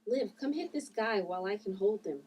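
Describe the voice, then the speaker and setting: Woman as a distant, husky young heroine. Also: medium pitch, expressive, brisk, a little mellow. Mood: urgent. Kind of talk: table read of a drama script. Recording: distant from the microphone.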